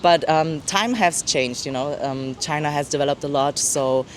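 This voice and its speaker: Casual young woman from germany, outdoors on a city street, talks kinda halting and easy. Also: low-pitched, slight background noise. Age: 20s. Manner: relaxed, halting with fillers. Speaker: interview guest. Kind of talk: casual interview answers.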